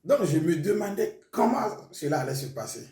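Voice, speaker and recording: Soft-spoken pastor in an echoey room. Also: male voice, on the high side, big pitch swings, normal speed, recorded from a distance. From Burkina Faso. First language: Mooré. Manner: hesitant, with frequent fillers. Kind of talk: testimony interview.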